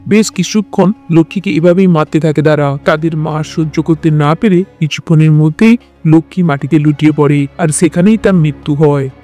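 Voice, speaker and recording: A man's voice: fairly high pitch, moderate pace, warm tone. A man reading scripted narration for a cartoon folk-style tale. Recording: music bed behind the voice.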